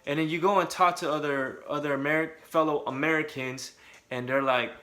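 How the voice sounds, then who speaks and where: Bright-voiced man talking candidly. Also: a little high, steady tone, brisk. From Southern California. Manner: conversational, candid, joking asides. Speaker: vlogger. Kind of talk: casual talk to camera.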